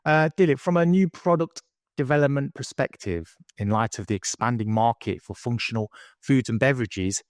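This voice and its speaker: Male webinar moderator, mid-range voice, courteous and measured question delivery, dry recording. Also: medium pitch, no echo. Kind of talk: moderated discussion, posing questions.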